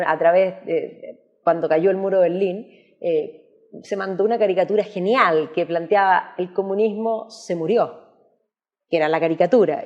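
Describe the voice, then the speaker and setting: Emphatic woman host, dull sound. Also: fairly low pitch. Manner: emphatic, uses rhetorical questions. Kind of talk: political discussion.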